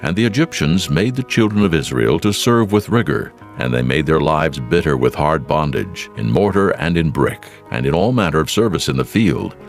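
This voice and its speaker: A man narrating dramatized scripture in a solemn, deliberate manner. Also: rumbling low, expressive, faint music behind.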